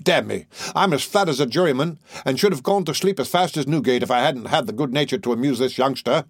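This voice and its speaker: A man's voice: medium pitch, fast, no echo, studio quality, natural voice. A man voicing a bored, boastful adult male housebreaker in a storybook reading, with a raised, swaggering voice.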